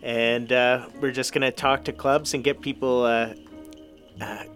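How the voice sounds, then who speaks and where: A man's voice: medium pitch, little pitch movement, a little slow, faint music behind. A man, a guest on a community radio show, answering in short, hesitant bursts full of fillers. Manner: hesitant, soft-spoken, brief answers with fillers. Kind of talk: casual radio interview. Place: local community radio studio.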